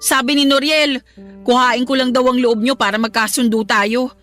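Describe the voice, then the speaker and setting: An aggrieved young Filipina daughter-in-law in a radio drama. Her voice is quite high, and she is respectful in wording yet plaintive and defiant. Soft music plays behind her. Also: fairly even intonation, moderate pace. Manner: polite but plaintive and defiant. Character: aggrieved young live-in daughter-in-law, politely talking back.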